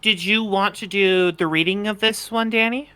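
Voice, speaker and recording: A man's voice: high-pitched, steady tone, normal speed, pushed and bright, smooth, dry recording. A man chatting casually with a reading group as they sort out roles. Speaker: participant in group fan fiction reading. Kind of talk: casual chat.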